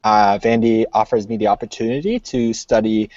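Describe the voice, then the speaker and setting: A young man from Syria, a university student on a panel, speaking in an earnest, measured way. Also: on the low side, steady tone, a little mellow, no room sound. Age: young adult. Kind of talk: casual panel talk. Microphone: video call. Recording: dry, dull video call audio.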